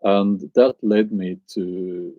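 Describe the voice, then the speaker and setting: A man's voice: fairly low pitch, fairly even intonation, slow pace, dry recording, phone-quality sound. A man with a Norwegian accent, chatting on a live stream and answering his viewers, hesitant and musing as he searches for words. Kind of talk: casual live stream chat.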